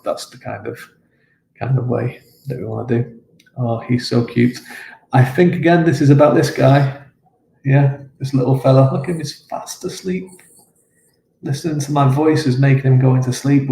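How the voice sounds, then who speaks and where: Male author hosting his own live stream from home: low-key, hesitant and chatty, with a dark, gravelly tone in a roomy space. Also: a little hiss or hum. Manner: rambling and hesitant with many fillers. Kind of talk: casual live-stream chat answering comments. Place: home, with his dog nearby. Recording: roomy echo with faint ambience.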